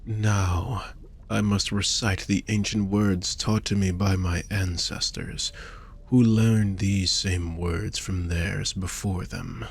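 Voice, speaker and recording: Man playing a slow, low-voiced possessive vampire. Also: steady tone, full character voice. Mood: solemn, mystical. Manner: slow, theatrical, formal, breathy murmurs. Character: suave possessive male vampire fortune teller, obsessive yet tender. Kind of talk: intimate scripted audio roleplay addressed to the listener.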